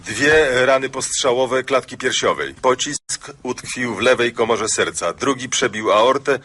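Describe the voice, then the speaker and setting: An actor playing a dry forensic officer reporting in a clipped, clinical way in a radio play. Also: male voice, medium pitch, unhurried, bright, forceful tone, slight background noise. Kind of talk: radio drama acting.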